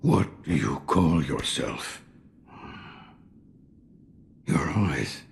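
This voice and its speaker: Gravelly old hermit for a game, real low, blunt and sardonic. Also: male voice, expressive, slight background noise, full character voice. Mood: grim, musing. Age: older. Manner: slow, sardonic, cryptic. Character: weary old hermit sculptor carving Buddhas, sardonic and blunt. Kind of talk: video game cutscene acting.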